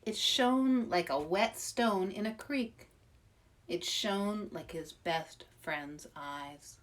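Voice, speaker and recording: A woman reading aloud at children's story time as an expressive, dramatic storyteller. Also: mid-range voice, slow pace, distant mic. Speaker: author reading aloud, host.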